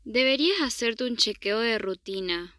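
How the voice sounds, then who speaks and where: Woman playing a bright-voiced young Argentine friend. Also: a little high, lively intonation, moderate pace, no room sound, studio quality. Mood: concerned. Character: caring, chatty young female university friend. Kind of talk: student radio drama acting.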